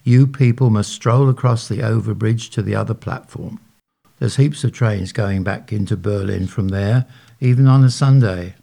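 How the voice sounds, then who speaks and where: A man voicing a thin elderly male train passenger who speaks low and hushed. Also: normal speed, creaky. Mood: urgent. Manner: low, murmuring.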